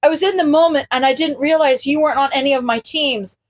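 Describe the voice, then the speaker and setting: A woman's voice: sits high, fast, lightly acted. A woman voicing an easily flustered, apologetic man in a story, earnest and given to exclaiming and cursing.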